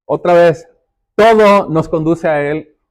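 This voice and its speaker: High-pitched male preacher, emphatic and didactic. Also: slow. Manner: emphatic, repeats phrases for stress; didactic, explanatory, rhetorical questions. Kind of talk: sermon, addressing the congregation directly.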